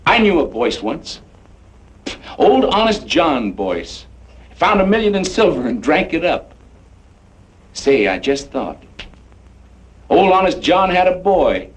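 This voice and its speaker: An actor playing a swaggering, mocking Western gunslinger with a folksy drawl, in the style of old-time radio drama. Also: male voice, on the high side, very animated, takes it slowly, pauses often, low-quality recording. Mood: sly. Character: swaggering, taunting Western gunslinger drifter who sings.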